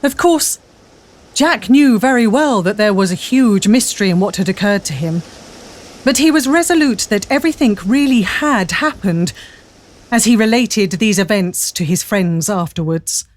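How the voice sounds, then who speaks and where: A woman's voice: medium pitch, lively intonation, moderate pace. A woman narrating a scripted radio drama as a storyteller. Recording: faint background ambience.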